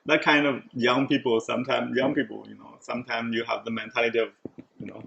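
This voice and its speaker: A man's voice: mid-range voice, little pitch movement, quick pace, creaky, fairly bright tone, highs cut off, slight background noise. A middle-aged man from China with a Chinese accent, chatting casually about his life and laughing often. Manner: chatty, often breaks into laughter mid-sentence. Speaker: show host telling his story. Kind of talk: casual conversation.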